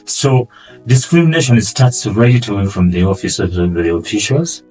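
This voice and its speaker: A man with a Ugandan accent, answering interview questions earnestly and often trailing off quietly. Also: on the low side, very animated, moderate pace, recorded from a distance, faint music behind, poor audio. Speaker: guest, asylum seeker.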